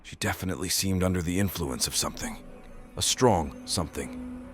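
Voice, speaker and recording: A man playing a brooding stalker who narrates an audio drama in deliberate, detached, literary phrases. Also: low voice, over music, natural voice. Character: brooding male stalker narrating his nightly watch. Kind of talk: scripted first-person narration, audio drama.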